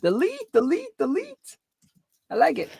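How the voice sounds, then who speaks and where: A woman's voice: sits high, huge pitch swings, a little slow, vocal fry, a loud crowd. A woman co-hosting a coding live stream with casual chat and short asides.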